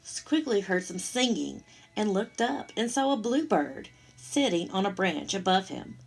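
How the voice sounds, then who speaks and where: Woman, children's storytime reader, creaky edge, expressive child-directed asides, read-aloud style. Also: mid-range voice, a little slow, a little hiss or hum, rough recording.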